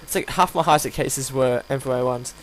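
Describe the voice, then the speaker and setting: Croaky, tired man with background hum. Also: medium pitch, fairly quick, a bit bright, rough recording. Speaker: gaming video host reviewing a weapon. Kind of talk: casual unscripted gameplay commentary. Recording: home recording with audible hum, background noise.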